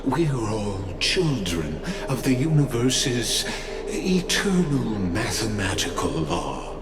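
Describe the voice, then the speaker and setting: Grandiloquent game elder, courteous and unreadable; measured formal delivery, echoing, music loud behind. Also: male voice, on the low side, sing-song. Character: solemn, grandiloquent male elder overseer, courteous and inscrutable. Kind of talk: theatrical video game voice acting.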